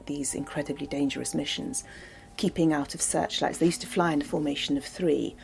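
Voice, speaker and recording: Very deep, creaky-voiced woman. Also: darkish tone. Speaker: actress. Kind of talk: behind-the-scenes interview.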